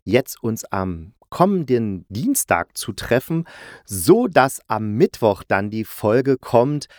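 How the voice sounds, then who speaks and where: A man with a medium-pitched voice hosts a podcast in a loose, conversational style. He is playful and jokey, correcting himself as he goes. The sound is close and dry. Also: sing-song, slow pace, darkish tone, no echo. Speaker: podcast host and audio drama reviewer. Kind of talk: casual, chatty solo review.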